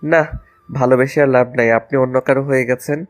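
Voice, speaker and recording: A man's voice: mid-range voice, fairly even intonation, a little fast, faint music behind, natural voice. A man playing a sulky, proud young college student in a romantic audio drama, curt and aggrieved. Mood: sulky, distant. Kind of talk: romantic audio story acting.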